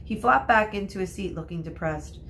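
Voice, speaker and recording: A woman's voice: mid-range voice, moderate pace, vocal fry, fairly bright tone, slight background noise. A woman narrating a storybook aloud in the role of a teacher reading to pupils.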